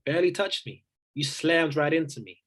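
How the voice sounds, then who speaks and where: A man plays an irritable young guy in his early twenties at a drama table read. His voice is husky and very lively in pitch, with a sarcastic bite. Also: moderate pace. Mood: irritated, sarcastic.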